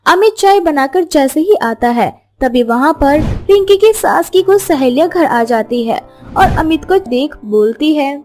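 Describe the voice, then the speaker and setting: A woman's voice: high voice, lively intonation, unhurried, darkish tone, soft background music. A woman narrating a scripted moral story as its storyteller.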